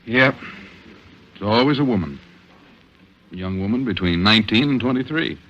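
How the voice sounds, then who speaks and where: A dull vintage radio recording of a worried police detective. His voice is sharp and swings widely in pitch. He speaks in terse, brooding phrases, earnest in the manner of 1940s thrillers. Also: animated, normal speed. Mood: grim, intense. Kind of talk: 1940s radio drama acting.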